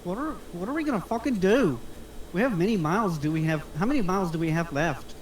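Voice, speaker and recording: A man's voice: sits high, animated, fast, a little hiss or hum. A man playing a frantic, sceptical getaway passenger in a script table read, agitated and questioning. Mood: panicky.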